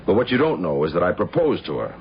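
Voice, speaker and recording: Low-voiced, blunt man with lively pitch. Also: fast, creaky, exaggerated voice. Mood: probing. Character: Bruce Brown, blunt, moneyed man who takes charge. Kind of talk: radio mystery drama acting. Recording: dull, slightly noisy old radio broadcast.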